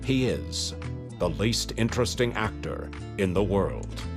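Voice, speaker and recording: A man giving a mock-serious, deadpan sponsor parody as a sketch announcer. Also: fairly low pitch, measured pace, over music.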